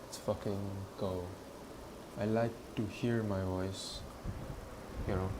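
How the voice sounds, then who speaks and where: A man's voice: deep voice, steady tone, slow, background noise. A young man streaming live, talking casually, repeating himself and swearing now and then. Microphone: headphones with monitoring.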